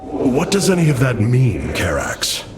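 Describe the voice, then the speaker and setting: An actor playing a noble, stern alien commander in a video game, formal, weighty and resolute. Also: male voice, medium pitch, huge pitch swings, measured pace. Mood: grave, thoughtful. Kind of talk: dramatic video game cutscene acting. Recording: loud music behind the voice.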